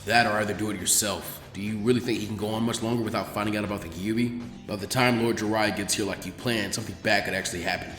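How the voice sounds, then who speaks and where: A man voicing a gruff, weary, laid-back ninja mentor in a dramatic story reading, with dry, low-key muttering. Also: fairly even intonation, fast, few pauses, fairly bright tone, soft background music, close to an everyday voice. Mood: frustrated. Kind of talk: dramatic fan fiction character reading.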